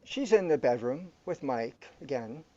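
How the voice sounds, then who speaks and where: An actor playing a calm, all-knowing guide in a surreal sci-fi radio drama, explaining things in a formal, matter-of-fact way. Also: male voice, medium pitch, measured pace, highs cut off, close to an everyday voice.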